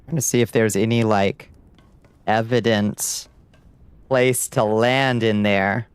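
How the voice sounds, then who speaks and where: A man's voice: fairly low pitch, fairly even intonation, slow pace, clear, resonant tone, dry recording. A middle-aged man with a light Georgia twang, chatting casually and wryly with his viewers while he plays a game. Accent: light Southern US twang, Georgia. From United States. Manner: laid-back drawl, wry, talks to chat while playing. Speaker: streamer. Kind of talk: casual live gameplay chat.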